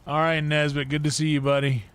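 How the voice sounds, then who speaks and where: A man's voice: fairly high pitch, little pitch movement, a little fast, fairly bright tone, clean tone. A man hosting a game livestream, chatting casually and rambling as he thinks aloud and mutters to himself. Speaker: livestream host and gamer. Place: indoor livestream setup.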